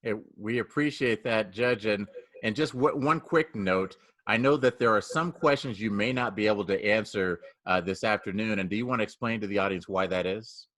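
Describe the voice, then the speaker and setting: A man's voice: fairly low pitch, normal speed, fairly bright tone. A man moderating a panel on a video call, making friendly and polite introductions.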